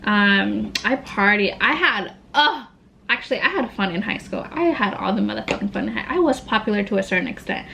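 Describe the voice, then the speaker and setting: A woman's voice: medium pitch, lively intonation, a little fast, gravelly, bright, forceful tone, a little hiss or hum. A woman in her late twenties from Tacoma, Washington, chatting candidly during a makeup Q&A, full of "like" and slang. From Tacoma, Washington, USA. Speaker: beauty vlogger. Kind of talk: casual get-ready-with-me Q&A chat.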